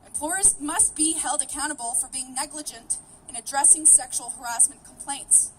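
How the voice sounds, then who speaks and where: A woman's voice: high-pitched, moderate pace, a little hiss or hum. A woman, who first spoke Greek, gives an earnest, determined prepared speech at an outdoor rally. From Canada. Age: 30s. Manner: earnest, steady, determined delivery. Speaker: rally speaker. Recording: voice picked up from a distance.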